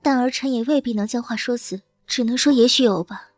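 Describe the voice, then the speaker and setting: A woman's voice: on the high side, a little fast, no room sound, soft background music, close to an everyday voice. A woman playing a proud, guarded young imperial princess in a Chinese period drama, haughty and curt. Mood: composed. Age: young adult.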